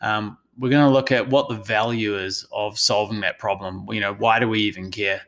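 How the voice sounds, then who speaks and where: Male presenter, New Zealand accent, lowish voice, professional and explanatory, for a business webinar. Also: steady tone, moderate pace, a bit bright. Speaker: analytics consultant presenting a session.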